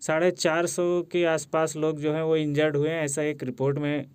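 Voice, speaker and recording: A very clear, smooth male voice, set a little high and recorded dry. He hosts a casual news explainer. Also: little pitch movement, normal speed, dry recording. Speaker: video host.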